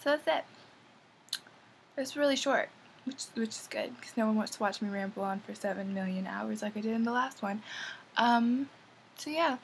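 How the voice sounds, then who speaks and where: A woman's voice: on the high side, moderate pace, creaky, pauses often, a little hiss or hum. A young woman casually reviewing the films she watched, rambling and enthusiastic with lots of fillers. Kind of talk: casual movie review vlog.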